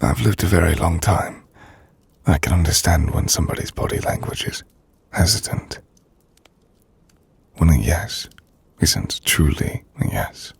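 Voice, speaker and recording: A man playing an ancient, brooding vampire lord in an intimate ASMR roleplay, speaking formally and drily in a gravelly, breathy near-whisper. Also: very deep voice, lively intonation, no echo, exaggerated voice. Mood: gentle, curious. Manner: formal, measured, dry. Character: ancient brooding vampire lord, dryly teasing, courteous host.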